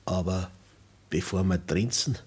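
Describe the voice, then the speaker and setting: A dying farmer in an old radio play, with a very deep voice. He talks slowly and calmly, husky and resigned, at peace with his end. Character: dying Bavarian peasant man, calm, resigned. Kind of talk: 1960s radio drama acting.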